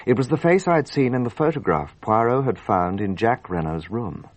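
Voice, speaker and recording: An actor playing an earnest, impulsive gentleman who narrates a radio drama, with a husky voice. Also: fairly low pitch, expressive, a little fast, no room sound. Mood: grave narration.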